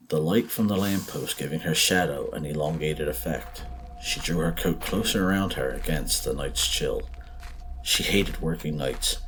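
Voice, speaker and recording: A man narrating a scripted horror story in a measured, theatrical, suspenseful way. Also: extremely low pitch, slight background noise, low-quality recording. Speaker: podcast host and story narrator.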